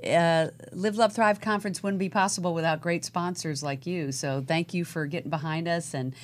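A woman hosting a talk radio interview show, upbeat and chatty, often pausing on "uh" between thoughts. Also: on the low side, steady tone. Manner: upbeat, warm-toned interjections, frequent filler "uh".

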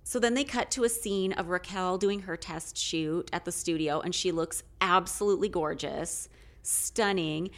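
A middle-aged woman co-hosting a podcast, chatting casually in a monotone delivery. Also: fairly low pitch, moderate pace. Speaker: podcast co-host. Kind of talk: casual chat recapping a reality show.

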